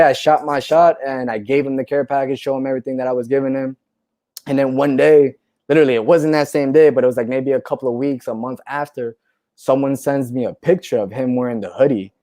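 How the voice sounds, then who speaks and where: A man's voice: mid-range voice, no room sound. Easygoing man telling a rambling story. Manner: relaxed, rambling storyteller, says "you know" and "right?" a lot. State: a little tipsy from wine. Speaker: clothing brand founder, guest. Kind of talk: casual conversation.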